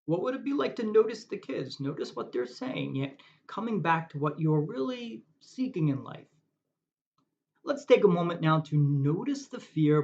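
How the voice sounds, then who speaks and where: A man guiding a meditation in a calm, measured way, asking reflective questions. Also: fairly high pitch. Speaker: meditation guide. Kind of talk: guided meditation. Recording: dull lo-fi recording.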